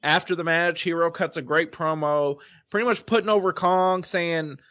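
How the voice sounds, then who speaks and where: A man with a somewhat high voice talks loosely through a recap, filling gaps with "you know" and "uh". The podcast audio sounds a little dull and muffled. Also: steady tone, normal speed, fairly bright tone. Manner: loose, rambling, many fillers. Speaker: podcast co-host. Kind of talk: casual podcast chat recapping a wrestling show.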